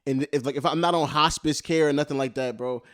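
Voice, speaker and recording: A man's voice: a little high, a little fast. A man, co-hosting a casual podcast, laid-back, laughing often, and saying "bro" all the time. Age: late 20s. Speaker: podcast co-host. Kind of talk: casual chat.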